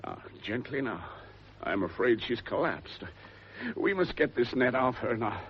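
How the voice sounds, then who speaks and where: Man acting an animated English gentleman investigator. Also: mid-range voice, unhurried, dull audio, a little hiss or hum, lightly acted. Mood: fascinated. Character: authoritative, excitable educated English gentleman investigator. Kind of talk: radio drama acting.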